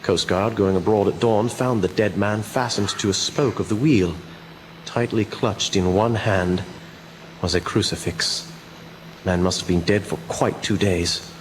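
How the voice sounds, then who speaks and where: An actor playing a grave doctor who reads evidence aloud in a measured, portentous radio drama style. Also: male voice, on the low side, a little hiss or hum, natural voice, rough recording. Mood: ominous. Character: grave male doctor presenting evidence, reading aloud solemnly.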